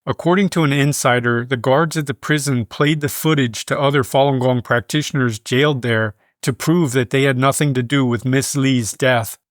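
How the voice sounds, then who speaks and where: A man reading scripted news in a measured, formal manner. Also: medium pitch, fairly even intonation, no echo, studio quality. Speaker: news reader.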